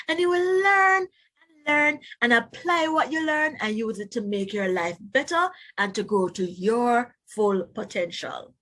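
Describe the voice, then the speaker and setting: A woman teacher reading a children's book aloud, pausing to explain and put questions to young listeners. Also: on the high side, a bit bright, slightly rough.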